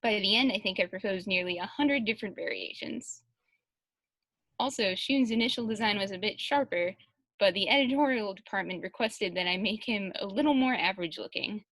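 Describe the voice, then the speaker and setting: A woman's voice: mid-range voice, fairly quick, a bit bright, no room sound. A woman chatting casually on a Q&A panel and reading answers aloud as a translator.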